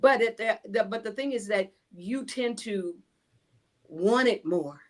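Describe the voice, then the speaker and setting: A woman's voice: mid-range voice, a little slow. A woman from Illinois, a guest on a talk show, speaking earnestly in casual chat and often restarting her sentences.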